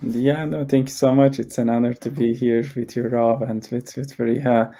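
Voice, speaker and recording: Gravelly, dark-voiced man from Turkey. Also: mid-range voice, steady tone, normal speed, a little hiss or hum. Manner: humble, hesitant, with many filler words. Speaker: academic and community organizer. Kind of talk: panel discussion.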